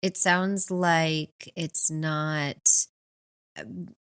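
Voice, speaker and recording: A woman's voice: low voice, fairly even intonation, very slow, fairly bright tone, smooth. A woman co-hosting a book podcast, giving an enthusiastic, partly prepared review in a conversational way. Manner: enthusiastic, laughs easily.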